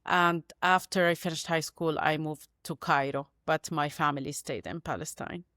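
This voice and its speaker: A woman in her forties, born in Syria and raised in Gaza, speaking as a podcast guest in a thoughtful, pausing way over a video call. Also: deep voice, steady tone, bright, forceful tone, no echo, studio quality. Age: 40s. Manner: thoughtful, searching for words with many pauses. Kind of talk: reflective interview conversation.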